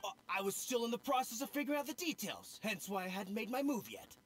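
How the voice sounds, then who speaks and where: An actor playing a nervous, bumbling young apprentice thief who talks in folksy slang and stammers. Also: male voice, sits high, big pitch swings, quick pace. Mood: sheepish. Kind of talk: video game cutscene voice acting. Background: game soundtrack music.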